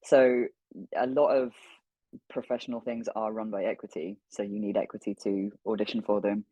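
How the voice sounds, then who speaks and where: A man's voice: on the low side, little pitch movement, normal speed, mellow, no echo, rough recording. A young man chatting casually as an interview guest, speaking emphatically and repeating phrases for effect. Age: young adult.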